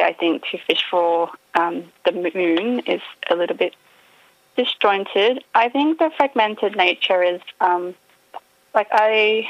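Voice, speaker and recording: Gravelly low-voiced woman on a dull line. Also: dry recording. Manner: thoughtful, hedging, often trails off. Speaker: author guest. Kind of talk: reflective radio interview answers.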